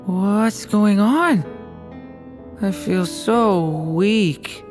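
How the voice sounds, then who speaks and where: A woman's voice: mid-range voice, extremely slow, a little mellow, soft background music. A woman voicing a young, naive boy dragon in a game, earnest and plaintive. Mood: weak, confused. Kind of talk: game voice acting.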